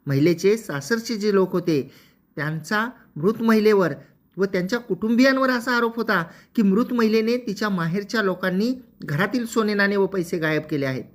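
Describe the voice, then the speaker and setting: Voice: mid-range voice, moderate pace. A legal explainer narrates a court judgment formally and methodically, repeating phrases to clarify.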